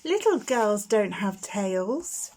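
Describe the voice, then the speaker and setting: A woman voicing a naive, eager young male barn owl chick in a storybook, inquisitive, blunt and quick to get huffy. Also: medium pitch, big pitch swings, darkish tone, a little hiss or hum. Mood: puzzled.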